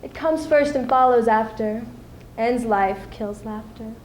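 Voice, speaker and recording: An eerie, mocking spirit of darkness, played by a teenage girl, recites poetry in measured, theatrical phrases. The voice is fairly high and sounds in an echoey room. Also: a little mellow, natural voice. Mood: ominous. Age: teens. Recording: echoey room, faint noise.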